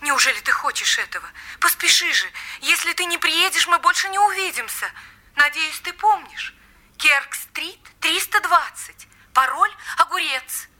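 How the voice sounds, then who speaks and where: Frightened pleading woman, high, piercingly bright. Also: animated, normal speed, exaggerated voice. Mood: urgent, fearful. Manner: urgent, breathless, dramatic pleading. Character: frightened, pleading, seductive mysterious woman on the telephone. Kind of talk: radio play acting.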